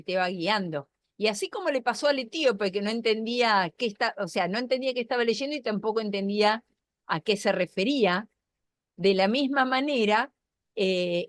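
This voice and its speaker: A woman's voice: mid-range voice, no room sound, studio quality. A woman with an Argentine accent, a pastor hosting an online Bible class, explaining in a thoughtful way with fillers and restarts over a video call. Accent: Argentine Spanish.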